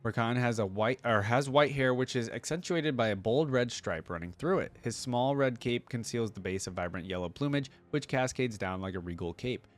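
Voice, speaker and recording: A man's voice: mid-range voice, normal speed, few pauses. A man hosting a reaction video, reading lore aloud in a casual way with chatty, musing asides. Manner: chatty, musing asides between read passages.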